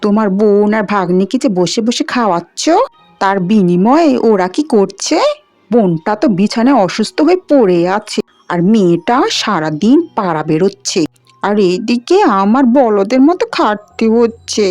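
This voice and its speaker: A woman voicing a cruel, resentful aunt in an animated fairy tale, scolding and complaining. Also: medium pitch, normal speed, dry recording, faint music behind, lightly acted. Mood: resentful, scheming. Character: nagging, cruel aunt resentful of her dependants.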